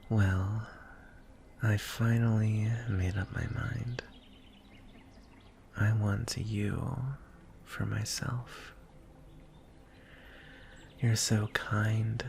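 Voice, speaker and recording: A man voicing a lonely, courtly dragon in a fantasy ASMR roleplay, speaking softly and breathily in measured, formal phrases. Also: on the low side, a little hiss or hum, full character voice. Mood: calm, proud, then tender, admiring. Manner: soft, hushed, breathy delivery with deliberate pauses. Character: lonely, courtly male dragon wooing a prince.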